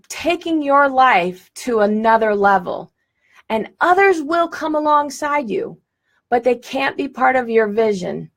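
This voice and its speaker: A woman teaching a live-streamed lesson, talking in a direct, enthusiastic way with bursts of laughter, on a phone or webcam mic. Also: a little high. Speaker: law of attraction teacher.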